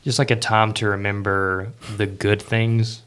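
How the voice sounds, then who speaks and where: Guy hosting a podcast, pretty flat low voice, casual, sorta halting as he explains. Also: clear, resonant tone. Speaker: podcast host. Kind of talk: casual chat.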